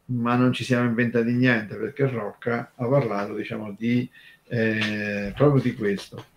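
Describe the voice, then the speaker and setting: A man co-hosting an unscripted radio conversation, talking in a halting, discursive way full of filler tags. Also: mid-range voice, little pitch movement, dull audio, slight background noise. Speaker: radio show co-host.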